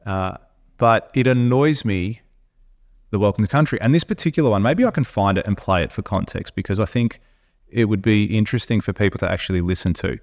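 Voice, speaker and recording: An Australian man with a fairly low voice holds forth on a podcast, blunt and opinionated. He restarts sentences often and pushes his points in an animated way. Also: a little fast. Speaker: podcast co-host. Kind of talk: casual opinionated chat.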